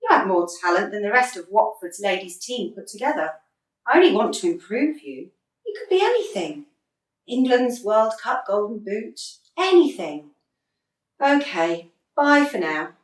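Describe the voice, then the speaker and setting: A woman's voice: medium pitch, very animated, moderate pace, close to an everyday voice. A woman playing an exasperated, earnest football manager in a radio comedy, patient and articulate with a dry sarcastic edge. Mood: earnest, encouraging. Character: earnest, exasperated female football manager coaching wayward players. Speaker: actor in a radio play. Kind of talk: radio comedy drama acting. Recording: roomy echo, distant from mic.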